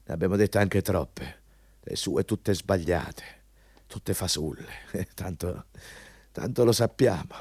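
An actor playing a bullying, scornful company recruiter in a radio drama, dismissive and contemptuous. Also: male voice, low voice, takes it slowly, darkish tone, husky, no echo, exaggerated voice. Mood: scornful, accusing. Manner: scornful, dismissive, barking questions. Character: contemptuous, bullying male company recruiter, foul-mouthed anti-communist.

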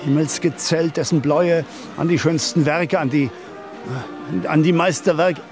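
An actor playing a high-strung Berlin radio reporter in a German radio drama, declamatory and effusive, sometimes halting. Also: male voice, fairly even intonation, over music, lightly acted. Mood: effusive, pompous. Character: high-strung, florid Berlin radio reporter, self-doubting, trapped in studio.

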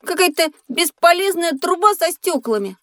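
A woman's voice: very high voice, normal speed, no room sound. A woman voicing a naive, curious young schoolboy who tends to whine, in an educational audio play. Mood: grumbling. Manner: childlike, earnest, expressive. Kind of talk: scripted educational audio drama.

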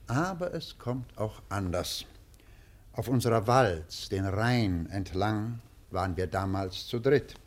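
An actor playing an earnest, courteous poet in a German radio play, speaking in a polite, measured, lyrical way. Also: male voice, fairly low pitch, animated. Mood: earnest, reminiscing. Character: earnest, courteous male poet with a vagabond past.

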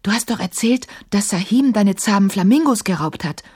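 A woman's voice: medium pitch, animated, normal speed, a little mellow, slightly rough. A woman voicing an anxious but brave young girl in a storybook, urgent and pleading. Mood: hushed, curious.

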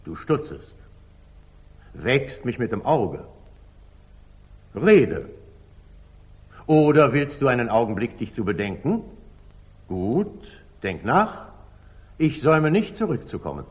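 An imperious sultan in a 1940s German radio play. His phrasing is declamatory and commanding, with a very lively, sweeping pitch range around a middling voice. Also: slow, pauses often. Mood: insistent, probing. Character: imperious, probing medieval sultan of Jerusalem.

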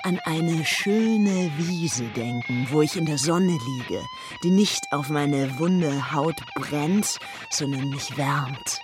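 A woman's voice: low-pitched, heavily acted. An actress playing a weary woman who lulls herself toward sleep in a drifting radio play monologue. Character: weary person soothing themself toward dreamless sleep. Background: plucked guitar music.

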